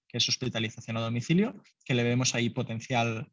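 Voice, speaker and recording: A man's voice: medium pitch, quick pace, no room sound. Brief: male founder, casual and filler-heavy, dry muffled recording. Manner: loose and conversational, many fillers, laughs lightly. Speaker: startup founder. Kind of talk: business interview answers.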